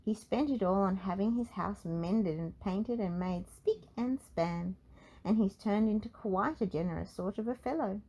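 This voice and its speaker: A woman narrating a children's storybook in an expressive read-aloud style. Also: medium pitch, normal speed, slightly rough, rough recording.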